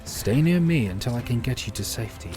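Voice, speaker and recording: A man's voice: medium pitch, lively intonation, fairly quick, over music, natural voice. A man playing an earnest, protective warrior leader in a fantasy audio drama, resolute and heroic.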